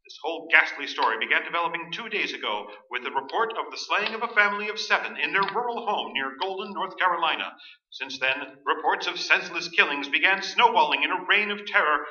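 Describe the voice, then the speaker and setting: A man's voice: fairly high pitch, lively intonation, fairly quick, pushed and bright. An actor playing a grave, urgent male radio newsreader who delivers formal, emphatic bulletins in a staged radio play. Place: stage performance in an echoey room. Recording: dull, echoey live stage recording.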